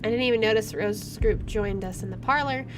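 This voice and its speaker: A woman's voice: mid-range voice, fairly quick, noisy background. A woman doing gameplay commentary, reading a visual novel aloud and reacting casually.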